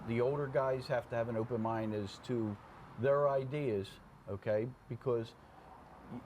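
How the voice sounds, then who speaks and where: A man's voice: mid-range voice, fairly even intonation, a little slow, darkish tone. Plainspoken older man, noisy room. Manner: plainspoken, checks in with "okay?" and "you know". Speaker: veteran fire officer, interview guest. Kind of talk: casual conversational interview. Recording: clear background room noise.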